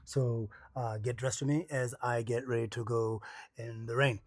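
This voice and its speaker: A man's voice: mid-range voice, steady tone, moderate pace. A man talking casually and jokingly to camera indoors while he gets dressed, in short slangy bursts full of catchphrases and exclamations. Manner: playful, peppers talk with exclamations and catchphrases; slangy, jokey self-narration in short bursts. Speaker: vlogger demonstrating his cycling rain gear. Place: indoors at home.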